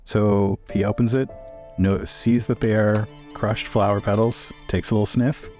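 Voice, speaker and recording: A man's voice: lowish voice, steady tone, unhurried, warm tone. A man playing a tabletop roleplaying game, casually describing what his character does. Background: faint music.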